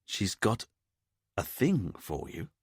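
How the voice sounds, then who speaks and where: A man's voice: on the low side, big pitch swings, slow, slightly rough, dry recording, studio quality, heavily acted. A man voicing an uptight, fussy police detective who speaks in a clipped, exasperated way.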